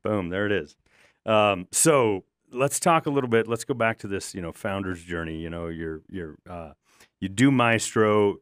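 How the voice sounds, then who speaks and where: A man hosting a podcast interview, with a deep, booming voice and an easygoing, encouraging way of asking questions. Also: normal speed, no echo, studio quality. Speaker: podcast host. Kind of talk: conversational interview.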